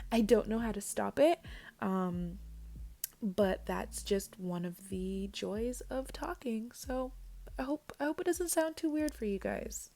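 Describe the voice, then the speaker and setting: A woman narrating a hair tutorial voice-over, chatty and talking straight to her viewers, with a raspy voice. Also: fairly high pitch, slow, mellow. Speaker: parent vlogger. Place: home.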